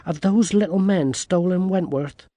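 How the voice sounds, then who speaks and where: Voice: medium pitch, unhurried, warm tone, dry recording, voice pushed up, natural voice. A narrator voicing a bold, determined young girl heroine in a storybook, direct and demanding. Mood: urgent questioning.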